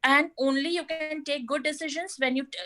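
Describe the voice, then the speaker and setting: A woman's voice: high-pitched, steady tone, brisk, pushed and bright. A woman on a video call from home giving earnest summary comments on a talk, with many fillers and restarts. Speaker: discussant in an online webinar. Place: home, toddler nearby.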